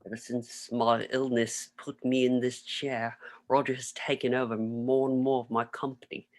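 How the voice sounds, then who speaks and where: A man's voice: medium pitch, flat delivery, normal speed, close to an everyday voice. A man reading the part of an earnest older tycoon in a wheelchair at a script table read. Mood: solemn, regretful. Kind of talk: video-call table read of film script.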